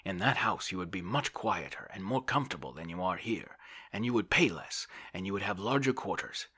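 Storybook bully voiced by a man: brash, hectoring, swooping emphatic delivery, sharp tone. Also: sits low, animated, a little fast, slightly rough, pitched above the performer's own voice, exaggerated voice. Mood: blustering, bossy. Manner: blustering, scolding, bossy. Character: brash, bullying, grasping Russian man, hectoring his friend. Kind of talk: audiobook character voice.

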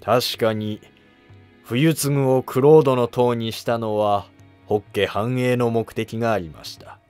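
A man plays a wily minister of the old court in a cartoon history drama. He is guarded and evasive, with formal, measured phrasing. The sound is dry, with quiet music behind. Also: medium pitch, clean tone, dry recording, close to an everyday voice.

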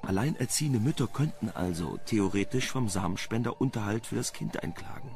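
A man playing a teasing, talkative, sardonic investigator with a gravelly voice in a German crime radio play. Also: lowish voice, a little fast, darkish tone. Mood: matter-of-fact. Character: flirtatious wry male investigator, teasing and talkative.

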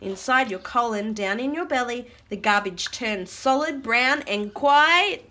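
A woman with a high, bright voice reads aloud to little children. Her delivery is animated and child-directed, with simple questions and repeated words mixed into the reading. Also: measured pace, slight background noise. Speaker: adult reading a picture book aloud to children. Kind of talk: read-aloud storytime with chat to small children. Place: carpeted floor indoors at home. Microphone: camera looking down at the book.

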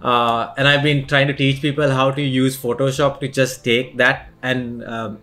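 A man's voice: medium pitch, little pitch movement, normal speed, pushed and bright. A young man from Sri Lanka hosting a podcast interview, talking in a casual, hesitant way with many fillers and restarts, and laughing easily. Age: young adult. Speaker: podcast host.

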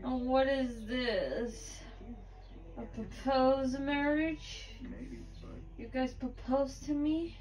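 Woman, fairly high voice, very slow and halting, amateur tipsy ASMR roleplay, clearly noisy recording. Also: darkish tone, soft background music. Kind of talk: improvised ASMR girlfriend roleplay, playing tipsy. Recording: noisy home recording with clicks.

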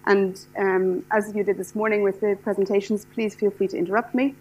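Irish woman, middle-aged, brisk eager lecturer, steady mid voice, faint hum under the lapel mic. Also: medium pitch, poor audio. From Ireland. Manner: fast, enthusiastic, frequent restarts and fillers. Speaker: lecturer, standards specialist. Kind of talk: lecture to a class. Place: lecture hall with seated audience.

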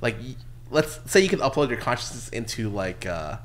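A man in his early thirties hosts a casual podcast at a close desk mic. His mid-pitched voice moves around a lot, and he talks loosely with plenty of swearing. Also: very animated, a little fast. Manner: loose, swearing, filler-heavy. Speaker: podcast host. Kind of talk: casual chat. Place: small indoor podcast studio. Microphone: close desk microphone.

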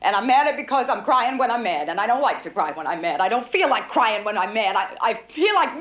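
A woman's voice: fairly high pitch, very animated, brisk, dull audio, slight background noise. An actress playing a woman police officer who speaks out emotionally about her strained marriage. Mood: angry. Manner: emotional, outspoken. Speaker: police detective.

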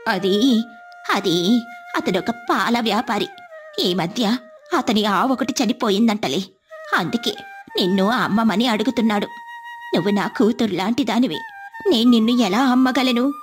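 A woman acts out a motherly, pious village cow owner in a cartoon moral story. She sounds fairly high-pitched, caring and earnest, with soft music underneath. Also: measured pace, long pauses, lightly acted. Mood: hesitant, reassuring. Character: devout, motherly woman who owns a cow.

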